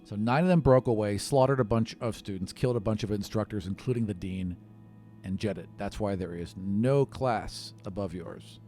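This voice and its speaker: A man's voice: lowish voice, normal speed, mellow. Man game-mastering casually over faint music. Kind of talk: casual tabletop game narration and chat.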